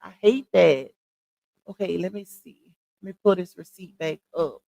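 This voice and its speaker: A woman's voice: fairly low pitch, normal speed, vocal fry, dark tone. Chatty woman greeting livestream viewers. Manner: chatty, greets viewers by name, calls them y'all. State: voice going hoarse. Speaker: livestream host. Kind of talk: casual livestream chat.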